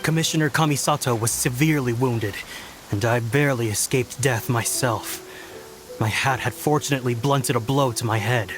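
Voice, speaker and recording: A grave samurai played by a man recounts the past in a mid-pitched voice. His delivery is solemn, deliberate and formal, with clear game music underneath. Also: lightly acted. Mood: solemn, haunted. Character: grave, dignified samurai ancestor narrating his letter. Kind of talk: dramatic narration in a video game.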